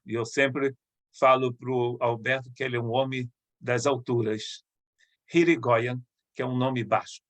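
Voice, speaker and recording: Middle-aged missionary pastor, dry close sound. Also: male voice, medium pitch, steady tone, fairly bright tone, no room sound. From Brazil, Rio de Janeiro state. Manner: conversational, halting with restarts.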